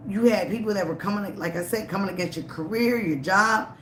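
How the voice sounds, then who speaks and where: A tarot reader giving a live reading, emphatic and repetitive, slightly raspy from a cold. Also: mid-range voice, brisk, a bit bright, echoey room, slight background noise. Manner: emphatic, repeats phrases, frequent "okay?" and "all right?".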